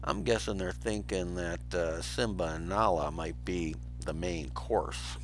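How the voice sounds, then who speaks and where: A man's voice: low-pitched, a little slow, slight background noise. A man reading a children's storybook aloud as a teacher, narrating expressively with brief asides.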